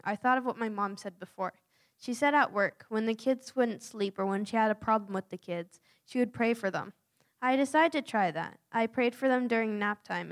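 Young woman volunteer, reads her written story aloud, earnest and steady, mid-range voice. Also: mid-range voice. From United States. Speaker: youth mission volunteer. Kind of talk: reading a prepared personal account aloud.

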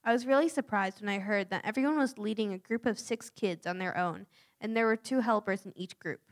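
Young woman volunteer, reads her written story aloud, earnest and steady, mid-range voice. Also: medium pitch, a little fast. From United States. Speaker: youth mission volunteer. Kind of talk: reading a prepared personal account aloud.

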